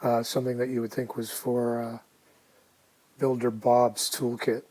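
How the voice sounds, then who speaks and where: Mellow man, casually narrating a DIY project. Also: medium pitch, flat delivery, a little slow, low-quality recording. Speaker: hobbyist restorer narrating a project.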